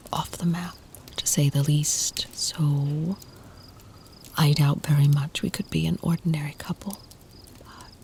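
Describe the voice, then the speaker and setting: A woman voicing an ancient, tender and protective female vampire in an intimate ASMR roleplay, speaking softly, half in whispers, with formal, deliberate phrasing. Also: low voice, gravelly, a little hiss or hum, heavily acted. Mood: earnest, careful.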